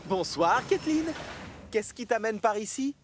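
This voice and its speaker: A woman voicing a kindly female engine in a cartoon, with a husky, welcoming manner. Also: fairly high pitch, very animated, a little slow, noisy background. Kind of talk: French cartoon dub.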